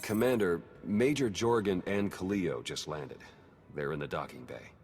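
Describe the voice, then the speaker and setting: By-the-book game aide: deep, matter-of-fact, formal, echoing room. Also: male voice, normal speed, a little mellow. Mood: businesslike. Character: serious, by-the-book male military aide. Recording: reverberant game hall, faint music.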